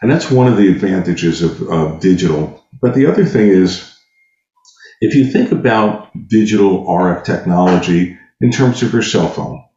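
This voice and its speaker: A man's voice: low-pitched, expressive, moderate pace, dark tone. A man being interviewed as a technical expert, explaining things conversationally and methodically with everyday analogies. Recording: dull, roomy sound.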